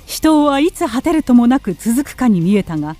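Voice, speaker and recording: A woman's voice: fairly high pitch, sing-song, fairly quick, warm tone. A woman giving scripted narration for a Japanese radio drama. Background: music.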